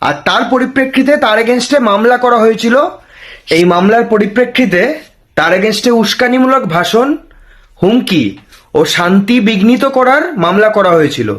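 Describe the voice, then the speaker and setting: A man's voice: sits high, moderate pace, fairly bright tone. A man with a West Bengal accent giving opinionated political commentary, challenging with rhetorical questions and dropping in English words. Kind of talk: opinionated news commentary to camera.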